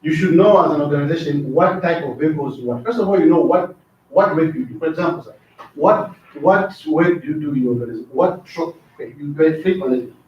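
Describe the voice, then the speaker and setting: A middle-aged man teaching a training class. His voice sits a bit high for a man, and he keeps asking the class questions and tagging "right?" onto his points. He sounds far from the mic in a very echoey room, and the recording is dull. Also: quick pace. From Zambia. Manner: asks the class questions, repeats words, drops in "right?" often. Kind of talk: classroom lecture.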